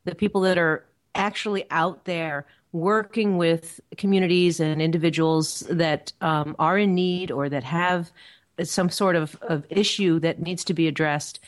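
A woman on a discussion panel talking casually and thoughtfully, often pausing to find her words. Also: lowish voice, steady tone.

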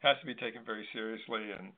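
A man hosting a conversational interview, speaking in a measured, agreeable way. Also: lowish voice, fairly even intonation. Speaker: discussion host. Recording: narrow tinny phone-like line.

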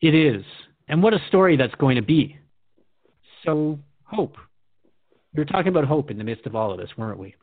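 A man's voice: a little high, sing-song, normal speed, narrow, tinny audio. A man hosting a radio show, speaking reflectively in scripted radio drama dialogue.

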